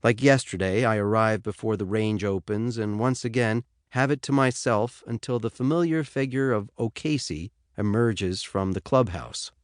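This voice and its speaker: A man's voice: fairly low pitch, normal speed, hardly any pauses, no room sound. An American man reading first-person fiction as an audiobook narrator, in a studio. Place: dry studio.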